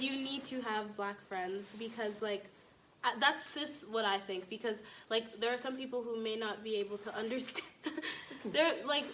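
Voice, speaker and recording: A woman's voice: on the high side, fairly bright tone, slight background noise. A young adult woman telling personal stories casually as a podcast guest, in a chatty, informal, giggly way.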